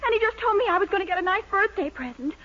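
An actress playing an excitable young woman in a 1940s radio sitcom, gushing and eager. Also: very high-pitched, racing. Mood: worried. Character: sweet young woman, excitable girlfriend and daughter. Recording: dull old radio broadcast with faint hiss.

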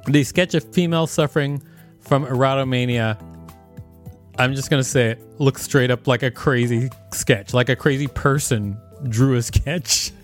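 A man's voice: medium pitch, normal speed, faint music behind. A man co-hosting a podcast, reading an article aloud and breaking in with joking commentary. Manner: animated, joking asides. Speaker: podcast co-host.

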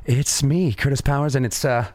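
A man with a raspy voice hosting a live radio music show, talking in an easygoing way with plenty of fillers. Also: mid-range voice, steady tone, normal speed, darkish tone, no echo. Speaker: radio DJ host. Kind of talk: casual live radio presenting.

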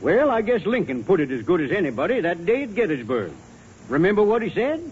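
A folksy, blustering small-town Westerner, pitched high and swinging widely. He is homespun and good-hearted, heard on a dull old 1930s film soundtrack. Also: big pitch swings, fairly quick, breathy edge, heavily acted. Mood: hearty, fumbling. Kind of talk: 1930s Hollywood comedy film acting.

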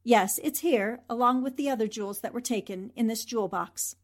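A woman's voice: fairly high pitch, a little fast, close to an everyday voice. A woman acting a plucky, composed newspaperwoman in a 1940s-style radio drama.

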